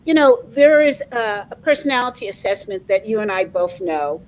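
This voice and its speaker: A woman's voice: mid-range voice, lively intonation, normal speed, darkish tone, slight background noise, poor audio. A middle-aged woman hosting an interview, talking emphatically and sharing personal anecdotes, often repeating words as she starts. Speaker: interviewer, coaching association host. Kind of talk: conversational interview.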